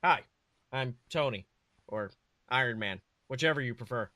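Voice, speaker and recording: A man's voice: medium pitch, animated, normal speed, bright, forceful tone, no room sound, voice pushed down. A man voicing a cocky, joking billionaire superhero with glib, casual banter in a story reading. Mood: friendly.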